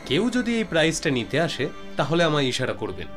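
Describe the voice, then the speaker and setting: An actor playing a bold, protective young police inspector with a commanding, swaggering manner in a dramatized story. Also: male voice, fairly high pitch, wildly swooping pitch, fairly quick. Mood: assured, brisk. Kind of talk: dubbed animated crime story dialogue. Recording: music behind the voice.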